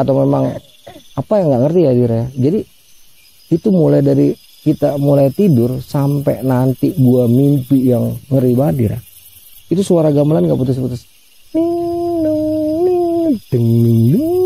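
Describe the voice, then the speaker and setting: A man's voice: a little high, lively intonation, normal speed, vocal fry, dark tone, husky, no echo, poor audio. A man telling a ghost story in a chatty way, often addressing his listener by name and acting out the voices he quotes. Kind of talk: casual spoken ghost story.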